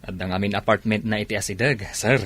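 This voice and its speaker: A man's voice: fairly low pitch, fairly even intonation, fairly quick, a bit bright, dry recording. A man playing a deferential Ilocano soldier. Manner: respectful, calling the commander sir. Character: deferential soldier under the commander. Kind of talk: radio drama acting.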